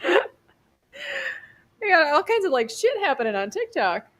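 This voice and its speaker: Bright chatty woman doctor. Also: extremely high pitch, slow. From New York state, USA. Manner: chatty, rambling, excitable, swears casually. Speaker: physician hosting a telehealth livestream. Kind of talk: casual livestream chat. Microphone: video call.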